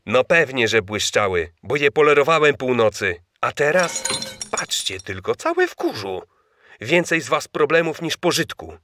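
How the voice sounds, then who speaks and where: An actor playing a fussy, exasperated reindeer who scolds theatrically in a children's audio play. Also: male voice, mid-range voice, very animated, normal speed, a bit bright, dry recording. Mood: exasperated scolding.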